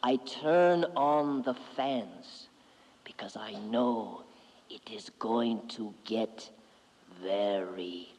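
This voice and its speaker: A man voicing a grown Hawaiian son who speaks slowly and solemnly in a low, whispery voice. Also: darkish tone, big-room sound. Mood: hushed.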